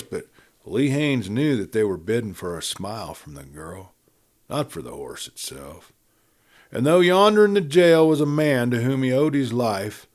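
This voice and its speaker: Man narrating a western, lively swinging pitch. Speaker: audiobook narrator. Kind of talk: scripted narration of a western novel.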